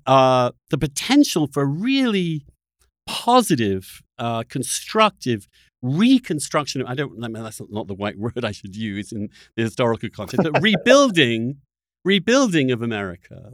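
A man in casual political discussion as a podcast host, emphatic and often halting to restart his phrases. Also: medium pitch, no echo, clean studio sound. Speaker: podcast host in conversation with a guest.

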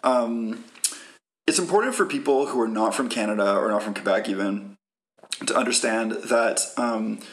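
A man's voice: medium pitch, poor audio. A man co-hosting a podcast, explaining political background casually with many "like"s and "um"s. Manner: explains at length, full of "like" and "um". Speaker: podcast co-host.

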